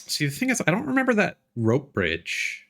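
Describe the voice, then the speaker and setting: A man's voice: fairly high pitch, wildly swooping pitch, moderate pace, gravelly, a bit bright. A man streaming a video game, chatting casually as he plays, with game music behind him. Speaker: livestreamer and VTuber. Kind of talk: casual gaming stream chat.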